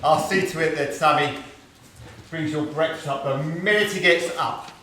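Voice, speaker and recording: A man puts on a chatty servant dame from a stage pantomime, coy and theatrical. The sound is very echoey and distant, with clear noise behind it. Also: fairly high pitch, moderate pace, bright, forceful tone. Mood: flustered, deferential. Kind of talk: comic stage patter.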